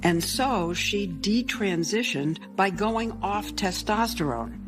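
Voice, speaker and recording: A woman news reporter with a mid-pitched voice narrates crisply and asks probing questions. Music plays clearly underneath. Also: lively intonation, slow pace.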